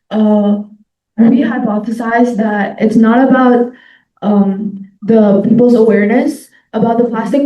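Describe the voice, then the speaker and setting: A teenage girl from Indonesia presenting her team's hypothesis, hesitant and filling pauses with ums. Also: fairly high pitch, warm tone, echoey room, distant mic, lo-fi sound. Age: teens. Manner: hesitant, with "um" and "uh" fillers. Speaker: student presenter. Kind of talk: class presentation.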